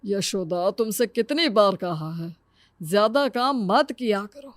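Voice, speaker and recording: A woman's voice: mid-range voice, lively intonation, takes it slowly, dry recording. A woman voicing a fond, mildly chiding middle-aged father in a cartoon moral story, with an advising manner. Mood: concerned.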